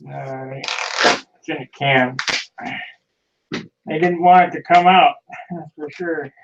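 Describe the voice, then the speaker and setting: A man's voice: on the high side, steady tone, slow pace, recorded from a distance, dull audio, background noise. A man in casual video call chat, talking about his model plane paint as he fumbles with a can. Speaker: hobbyist showing his paint.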